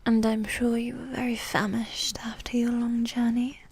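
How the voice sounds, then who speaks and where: A woman playing a possessive, condescending evil warrior queen who dotes on her spouse, speaking in soft, breathy half-whispers in a medieval ASMR roleplay. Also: a little high, level tone. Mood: composed, affectionate. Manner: slow, regal, formal phrasing with sighs.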